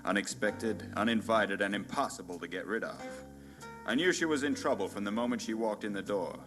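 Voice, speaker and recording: A man playing a hard-boiled, pompous and bumbling private detective in a noir radio play parody, narrating in a deadpan, melodramatic way. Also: on the low side, fairly quick, over music, close to an everyday voice. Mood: deadpan brooding.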